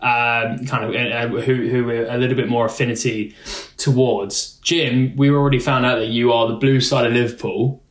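A man speaks on a podcast in a hesitant, self-deprecating way, often pausing to find his words. His voice comes through with a noticeable roomy echo and a mid-range male pitch. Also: flat delivery. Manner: self-deprecating, a bit halting. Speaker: podcast co-host. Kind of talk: casual chat.

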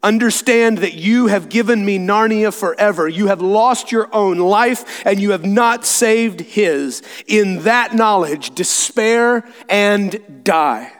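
A man voicing a gloating, cruel witch with a slow, quivering, menacing delivery in a story reading. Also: on the high side, lightly acted. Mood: gloating menace. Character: gloating, cruel witch taunting her victim before killing. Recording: echoey hall with long reverb.

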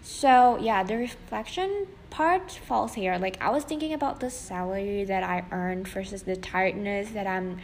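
A woman's voice: medium pitch, moderate pace, few pauses. Young female podcast host, loose chatty delivery full of "like", faint background hiss. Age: young adult. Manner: chatty, many fillers and "like". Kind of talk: casual solo podcast talk.

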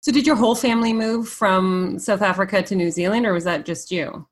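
A woman's voice: medium pitch, brisk. Curious woman podcast host. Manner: curious, eager questioning. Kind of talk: casual interview chat.

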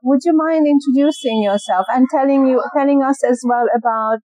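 A woman host speaks in a slightly high, mellow voice, slow and thoughtful, often pausing as she searches for her words. The sound is dry, with little room around it. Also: no room sound, soft background music, low-quality recording. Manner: slow, thoughtful, hesitant with pauses. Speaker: podcast host. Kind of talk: podcast introduction and interview. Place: roadside cafe on a busy street.

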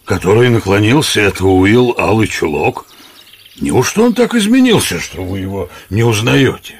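Loyal giant outlaw, gravelly, swooping pitch, earnest, radio play, faint background noise. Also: male voice, big pitch swings, moderate pace, exaggerated voice. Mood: reproachful, grave. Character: loyal, determined giant outlaw, right-hand man.

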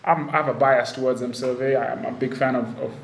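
A man's voice: medium pitch, steady tone, fast talker, creaky, slight background noise. A man from Kenya hosting a casual podcast interview, chatty and upbeat, often restarting his sentences. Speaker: podcast host. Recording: roomy sound with faint ambience.

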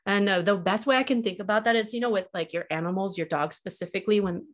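A woman with a slightly stuffy nose, talking casually as a podcast guest. She keeps it relaxed and loose, leaning on fillers and "like" as she explains. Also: medium pitch, quick pace. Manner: easygoing, many fillers and "like".

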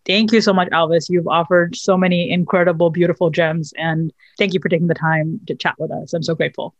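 A woman's voice: fairly low pitch, fairly even intonation, a little fast, no echo, muffled recording. A woman hosting a podcast, affirming her guest with effusive thanks and reading a closing announcement. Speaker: podcast host. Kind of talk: interview and scripted outro.

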